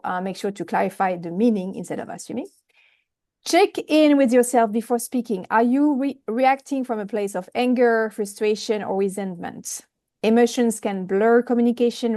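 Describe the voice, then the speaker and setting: A woman with a strong French accent speaking on an online video call, careful and a little halting over her words. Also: a little high, mellow. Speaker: volunteer timekeeper at a group meeting. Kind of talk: reading a prepared announcement aloud.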